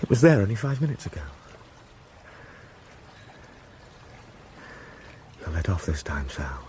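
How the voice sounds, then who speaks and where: A man's voice: low-pitched, sing-song, fast. Man acting intense husband over noisy ambience. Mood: bitter. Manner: emphatic, scornful when roused, hushed when tender. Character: domineering, hot-tempered husband, remorseful and protective underneath. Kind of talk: audio drama acting.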